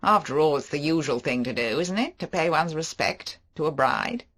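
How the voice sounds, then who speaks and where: A reader voicing a brash, overfamiliar man who talks loudly and jovially in an audiobook. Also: mid-range voice, expressive, normal speed. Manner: loud, jovial, teasing. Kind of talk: audiobook character voice.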